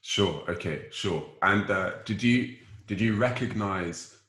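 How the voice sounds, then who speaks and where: A man hosting a casual group discussion, putting probing questions in a halting way and rephrasing as he goes. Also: sits low, a bit bright. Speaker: host of a discussion show. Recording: roomy, echoing voice pickup.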